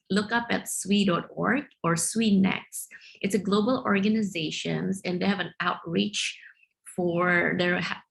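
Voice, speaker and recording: A woman's voice: fairly low pitch, vocal fry, low-quality recording. A middle-aged woman hosting a panel on a video call, giving students direct, encouraging informal advice. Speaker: panel host.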